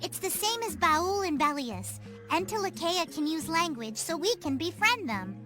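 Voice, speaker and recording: A woman's voice: very high-pitched, big pitch swings, moderate pace, bright, forceful tone, soft background music, full character voice. A woman playing an earnest, optimistic youngster in a fantasy video game. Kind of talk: English game dub acting.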